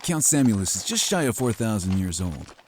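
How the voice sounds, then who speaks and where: A man's voice: fairly low pitch, sing-song, fairly quick, darkish tone, slight background noise. A man playing an expert, easygoing hunter who talks in a casual, matter-of-fact way in a fantasy audio roleplay. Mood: informative, steady. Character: expert male hunter, easygoing, loyal, practical team partner. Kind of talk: scripted fantasy audio roleplay addressed to the listener.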